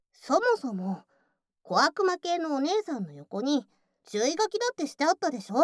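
A woman's voice: sits high, dry recording, studio quality. A woman voicing a bossy, teasing tsundere childhood friend working as a cafe waitress in an intimate ASMR voice drama, often slipping into whispers. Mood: scolding. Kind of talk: Japanese ASMR situation voice drama.

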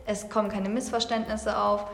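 A woman's voice: medium pitch, little pitch movement, normal speed, gravelly. Woman with a Saxon accent, casual podcast talker, reverberant room. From Saxony, Germany. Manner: chatty, thinks aloud with fillers. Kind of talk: casual discussion. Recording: echoey room with long reverb.

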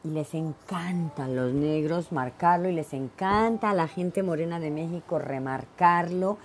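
A woman's voice: deep voice, measured pace, a little hiss or hum. A middle-aged woman from Veracruz, hosting her own show and giving blunt, emphatic opinions in a solo monologue full of fillers. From Mexico (Veracruz). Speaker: podcast host.

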